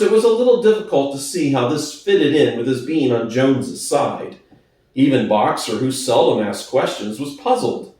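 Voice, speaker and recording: A man's voice: mid-range voice, darkish tone. A man narrating a book as a dramatic reading. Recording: echoey room, distant from mic.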